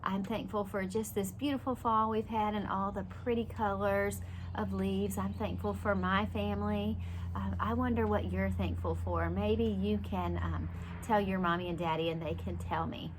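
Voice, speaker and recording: A woman with a mid-pitched voice reads a picture book aloud for preschoolers. She is animated and speaks directly to the children, explaining and asking as she goes. There is noticeable ambient noise behind her. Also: moderate pace. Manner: animated, explains and questions young listeners directly. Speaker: preschool teacher reading aloud.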